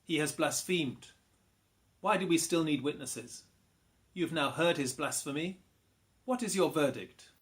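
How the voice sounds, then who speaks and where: A man playing a stern, accusing high priest in a dramatised Bible reading, speaking formally. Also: lively intonation, unhurried, pauses often, a bit bright. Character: stern male high priest interrogating a prisoner.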